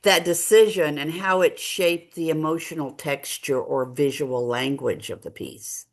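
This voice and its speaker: A woman's voice: deep voice, unhurried. A woman acting as interviewer, putting questions to her guests in conversation.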